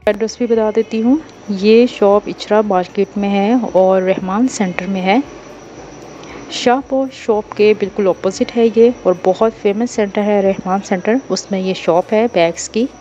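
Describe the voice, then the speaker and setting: A woman's voice: medium pitch, a little slow, warm tone, lo-fi sound, a little hiss or hum. A woman vlogger with a Pakistani Urdu accent, casually walking through a busy bazaar and chatting about bags. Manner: chatty, informal shop tips. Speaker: shopping vlogger. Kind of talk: casual market walkthrough vlog.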